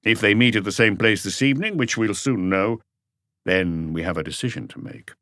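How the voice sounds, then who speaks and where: Audiobook detective, read by a man: seasoned, composed, low voice, matter-of-fact, close dry sound. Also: expressive, normal speed, pushed and bright, no echo. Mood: measured, serious. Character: composed, seasoned male police detective chief. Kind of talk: audiobook character voice.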